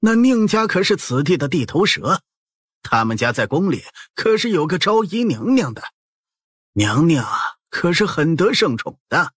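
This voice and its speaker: Man acting a high-voiced, wheedling male official. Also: big pitch swings, moderate pace, a bit bright, slightly rough, no room sound, clean studio sound, full character voice. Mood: placating. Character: nervous, evasive male prefect, a wheedling official. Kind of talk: audiobook drama acting in Mandarin.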